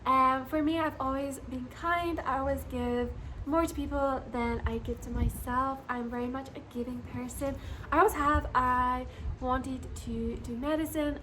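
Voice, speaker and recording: A young woman with a high voice talks straight to her viewers in a bubbly, gushing way, giggling as she goes. A faint hiss sits under her voice. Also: rough recording. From Scotland. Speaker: vlogger. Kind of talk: casual chat to camera.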